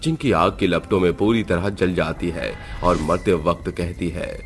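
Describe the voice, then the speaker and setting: A man's voice: low voice, a little slow. A man giving dramatic scripted narration of a horror story. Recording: music behind.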